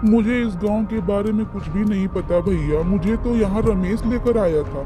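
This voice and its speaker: A man's voice: sits high, normal speed, warm tone, close to an everyday voice. A man voicing an earnest, simple village man in a children's cartoon story, worried and wronged, with plaintive rustic speech. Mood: puzzled, explaining. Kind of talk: animated children's moral story dialogue. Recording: music behind the voice.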